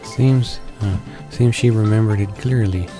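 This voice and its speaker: A man playing a visual novel and reading its text aloud in a halting way, stumbling and repeating words. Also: on the low side, mellow. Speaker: let's player. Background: game background music.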